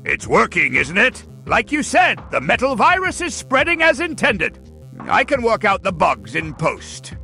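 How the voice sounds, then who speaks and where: Pompous gloating cartoon villain: forceful, swooping pitch, theatrical mad scientist. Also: male voice, wildly swooping pitch, unhurried, vocal fry, heavily acted. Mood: irritated. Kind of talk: dramatic comic dub. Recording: music behind the voice.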